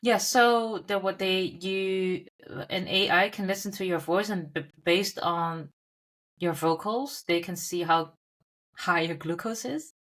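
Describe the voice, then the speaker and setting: A woman's voice: on the low side, takes it slowly, fairly bright tone. A young woman co-hosting a casual podcast chat, asking direct questions and telling anecdotes with animation. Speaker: podcast co-host.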